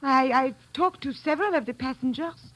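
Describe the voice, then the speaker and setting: A woman's voice: sits high, moderate pace, fairly bright tone, low-quality recording. An actress playing an indignant young Balkan woman, in old-time radio drama. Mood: indignant, guarded.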